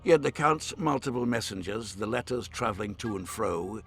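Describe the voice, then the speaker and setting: A proud, scheming lord from a fantasy game, with a fairly low voice. He talks formally and imperiously, with stern measured authority. Faint music sits behind him. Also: expressive, fairly bright tone. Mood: measured, conspiratorial. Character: haughty, scheming male nobleman, stern and formal. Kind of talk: video game voice acting.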